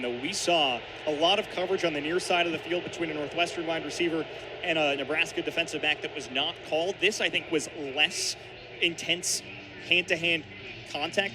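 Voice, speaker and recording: A young man giving explanatory, analytical color commentary on a live football radio broadcast. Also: a little high. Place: outdoor football stadium broadcast. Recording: stadium broadcast with loud ambient noise.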